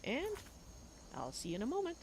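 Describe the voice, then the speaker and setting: A woman's voice: high voice, huge pitch swings, fairly quick, background noise. A woman hosting a game livestream, chatting informally to viewers and thinking aloud. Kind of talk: casual livestream chat to viewers.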